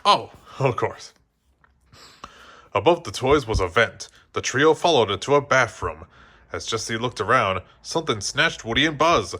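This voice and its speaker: Bright-voiced man reading a storybook. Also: medium pitch, animated, moderate pace, husky. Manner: occasionally stumbles over words and rereads. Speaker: storybook reader. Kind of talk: scripted read-aloud narration.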